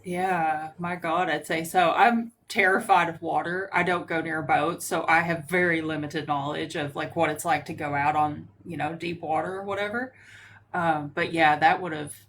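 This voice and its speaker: A woman with a lowish voice hosts a casual live stream, reacting to a caller and asking follow-up questions. Faint background noise runs under her voice. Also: steady tone, moderate pace, fairly bright tone. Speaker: host of a paranormal live stream.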